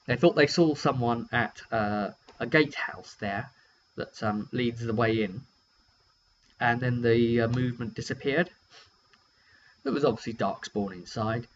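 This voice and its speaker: A man's voice: medium pitch, a little slow. A British man rambling hesitantly and chattily through a casual, unscripted recap of a tabletop game session, as its game master. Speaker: game master and vidcast host. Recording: dull lo-fi recording with steady hum.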